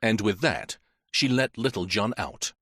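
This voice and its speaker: A man's voice: on the low side, animated, measured pace, sharp tone, husky, dry recording, clean studio sound. A man narrating a mystery audiobook.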